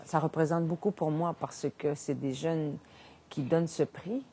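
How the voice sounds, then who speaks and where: A woman poet whose first language is Innu, speaking slowly and gently about her poetry. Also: low-pitched, steady tone, a little hiss or hum. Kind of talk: reading poetry and speaking in an interview.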